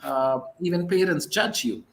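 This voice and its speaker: A man's voice: high-pitched, lively intonation, a little slow, creaky, fairly bright tone, rough recording. A man from southern India with a South Indian accent and Tamil as his first language, answering interview questions hesitantly, with many fillers. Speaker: guest.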